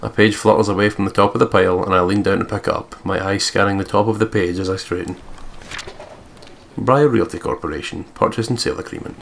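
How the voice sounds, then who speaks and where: A man's voice: low-pitched, fairly even intonation, quick pace, vocal fry, a bit bright, slight background noise. A man co-hosting a let's play, reading visual novel narration aloud with joking asides.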